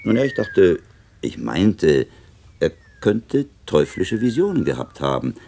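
A learned middle-ranking monk, with a low, creaky voice that swings expressively. He is probing and deliberate, a radio drama figure over faint ambience. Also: sing-song, warm tone, a little hiss or hum, heavily acted. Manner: deliberate, questioning, reflective. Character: thoughtful, probing monk, learned master and former inquisitor. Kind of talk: German radio drama acting.

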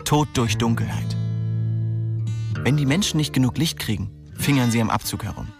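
A man's voice: medium pitch, normal speed, gravelly, lightly acted. An actor playing a cocky, restless young man who narrates his story in a slangy, streetwise radio drama style. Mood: wry, philosophical. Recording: music behind the voice.

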